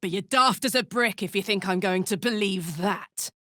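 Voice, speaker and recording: A woman voicing a tough, playful, warm-hearted tiefling warrior woman in a video game, talking bluntly and colloquially with plenty of swearing. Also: medium pitch, moderate pace, no echo, studio quality, lightly acted. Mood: frank, friendly. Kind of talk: video game character voice lines.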